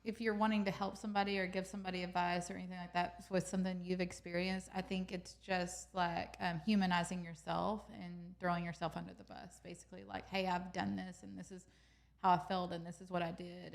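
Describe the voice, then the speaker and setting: A woman's voice: on the low side, little pitch movement, fairly quick, gravelly. Frank, laughing woman podcaster. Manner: frank, laughing, drops to a quiet tone. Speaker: podcast co-host. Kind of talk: casual chat.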